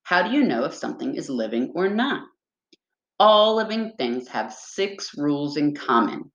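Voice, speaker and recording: A woman with a low-pitched voice reads aloud as an elementary school teacher would. She addresses young children directly and explains things in an instructional way. The sound is echoey, as in a bare room. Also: sing-song, moderate pace, lo-fi sound.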